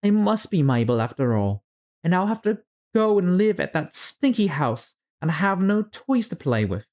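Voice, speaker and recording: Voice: mid-range voice, very animated, normal speed, a little mellow, voice pushed up, lightly acted. A storyteller voicing a dramatic, bewildered young girl who frets and scolds herself in a storybook. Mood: gloomy, stubborn. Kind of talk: storybook character read-aloud.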